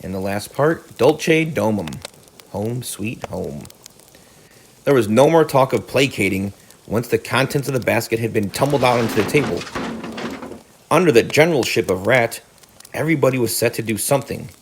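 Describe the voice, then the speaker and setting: A man reads a children's story aloud like a teacher. His mid-pitched voice moves up and down a lot, with faint noise behind it. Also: expressive, moderate pace. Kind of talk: children's storybook narration with word explanations.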